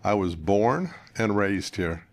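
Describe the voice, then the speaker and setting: An older American man from northern Utah, a guest candidate giving plain-spoken, conversational interview answers in measured phrases. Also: lowish voice, lively intonation. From northern Utah, United States. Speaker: political candidate, podcast guest.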